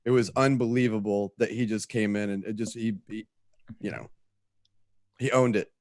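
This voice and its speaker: A man's voice: on the low side, fairly even intonation, moderate pace, a little mellow, no room sound, clean studio sound. A man from a show's production team, chatting casually. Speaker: show production team member. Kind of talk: casual chat.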